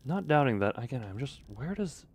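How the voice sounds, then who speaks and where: A man's voice: medium pitch, sing-song, moderate pace, darkish tone, breathy edge. A man doing casual live gameplay commentary, thinking aloud in a playful, muttering way and repeating phrases to himself. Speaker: game streamer.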